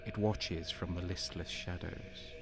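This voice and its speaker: A deep-voiced, cynical renegade soldier, acted by a man, tells his story slowly and broodingly. He is on edge and sometimes drops to a whisper, in audio drama style over music. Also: fairly even intonation, creaky. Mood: uneasy. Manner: slow, brooding, breaking into whispers. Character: cynical, faithless deserter soldier, sadistic and on edge. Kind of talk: audio drama first-person narration and dialogue.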